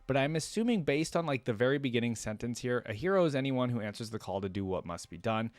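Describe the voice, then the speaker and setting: A man's voice: mid-range voice, expressive, fast talker, no room sound. A man hosting a reaction video, giving casual, rambling commentary as he thinks aloud and checks in with the viewer. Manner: rambling, thinking aloud, seeks agreement with "right?".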